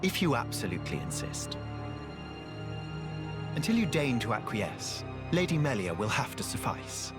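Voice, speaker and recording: A man's voice: mid-range voice, sing-song, moderate pace, long pauses, lightly acted. Guy doing a slimy bootlicking game soldier, super flowery n formal, voice swoops all over, music behind. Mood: fawning, grandiose. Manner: flowery, obsequious, archaic formal speech. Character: fawning male soldier, unctuous and scheming courtier. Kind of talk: video game voice acting.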